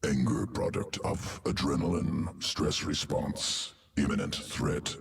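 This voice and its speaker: Guttural, abyssally deep alien scientist. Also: male voice, steady tone, takes it slowly, exaggerated voice. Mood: detached, clinical. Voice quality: guttural, gravelly. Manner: clipped telegraphic phrases, emotionless. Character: cold alien creature scientist, detached and clinical. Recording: cavernous echo, distant, long reverb.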